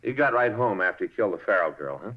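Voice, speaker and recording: An actor playing a blunt, businesslike police detective in a 1950s radio drama, with clipped questioning. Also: male voice, deep voice, lively intonation, fast. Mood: blunt, probing. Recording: dull, noisy 1950s radio recording.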